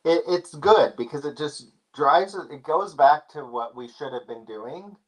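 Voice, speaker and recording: A man speaking as a guest expert in a casual interview, explaining things in a relaxed way with many fillers. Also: on the high side, unhurried, low-quality recording.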